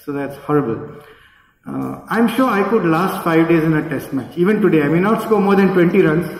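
A man's voice: on the high side, lively intonation, fairly quick. A middle-aged man with an Indian accent gives an informal, rambling, anecdotal speech from a podium, recorded from across the room. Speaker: guest speaker, neurosurgeon. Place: indoor event hall at a podium. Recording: echoey hall, distant camera microphone.

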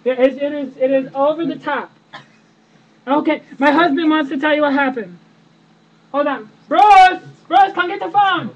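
A man's voice: very high-pitched, normal speed. A young man voicing a whiny, dramatic, indignant wife on a prank phone call. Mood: indignant, shrill. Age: young adult. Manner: whiny, dramatic, over-the-top. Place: indoor room with friends around. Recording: muffled, noisy webcam microphone.

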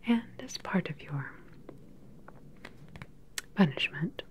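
A sinister female sci-fi doctor , acted by a woman, speaks in soft, breathy whispers for an ASMR roleplay. Her pitch is low and her delivery unhurried, with a calm, clinical control meant to soothe. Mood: clinical, stern. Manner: slow, deliberate, soothing, clinical. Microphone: close ASMR microphone.

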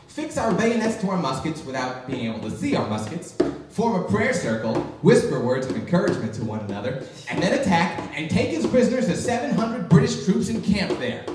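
A man's voice: sits high, far from the mic, noisy background. A young man playing an eager, goofy general with a casual, sheepish comic delivery in a live radio-style skit. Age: young adult. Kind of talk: live old-time radio comedy skit. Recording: very echoey room, off the mic.

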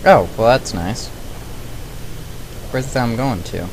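A man's voice: mid-range voice, takes it slowly, background noise. A man giving casual commentary while playing a video game, thinking aloud and questioning himself. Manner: thinking aloud, chatty, wondering and self-questioning. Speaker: let's play gamer.

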